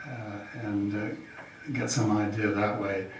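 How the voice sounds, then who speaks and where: An older man, an incumbent county official, giving a halting, folksy campaign speech full of fillers. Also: lowish voice, little pitch movement. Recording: echoey hall from far off, faint hiss.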